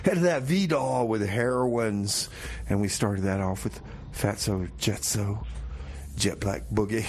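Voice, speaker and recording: A man hosting a community radio show with casual, deadpan comic banter, often repeating his phrases. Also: fairly low pitch, slow pace, slight background noise. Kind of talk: casual community radio comedy banter, song back-announcing.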